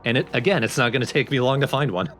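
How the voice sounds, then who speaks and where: A man with a mid-to-low speaking voice walks through a video game in a casual, informal manner. He explains things as he goes, with game music playing underneath. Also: fast, a bit bright. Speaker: let's play host and player. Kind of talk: casual gameplay commentary.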